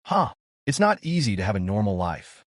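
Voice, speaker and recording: A man's voice: fairly low pitch, sing-song, moderate pace, no room sound, studio quality. A man voicing a composed, weary young mage from a manga in a restrained, brooding manner.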